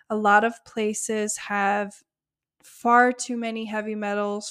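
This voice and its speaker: A woman hosting a solo health podcast, her voice a bit hoarse and giving out, talking casually through lists with small fillers. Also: medium pitch. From United States. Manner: conversational, list-like explanations with fillers. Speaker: podcast host, gut health practitioner.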